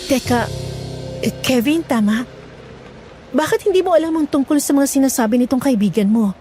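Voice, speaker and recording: A woman plays a young village survivor in an audio horror drama. Her voice is high and mellow with a wary manner, and music plays behind her. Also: animated, moderate pace.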